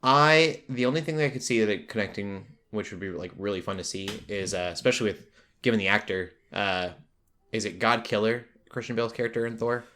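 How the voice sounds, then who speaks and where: Male podcast host with a slightly deep but bright, forceful voice, in relaxed casual chat. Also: brisk. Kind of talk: casual chat about shows and films.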